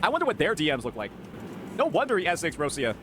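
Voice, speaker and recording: A man's voice: fairly high pitch, huge pitch swings, fast talker, noisy background. A man streaming angry reaction commentary, heated, repeating himself and swearing. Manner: heated, repetitive, profane.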